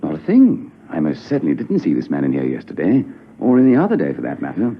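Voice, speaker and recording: Quick, gravelly young security officer. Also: male voice, low voice, wildly swooping pitch, exaggerated voice. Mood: defensive, emphatic. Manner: clipped, emphatic denials. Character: young, defensive security officer, deferential but curt. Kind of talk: spy radio drama acting. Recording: muffled old radio recording.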